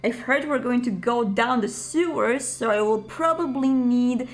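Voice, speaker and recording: Woman, fairly high voice, video presenter, explaining and advising. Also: a little slow. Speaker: video presenter giving roleplaying tips. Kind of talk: explanatory advice monologue.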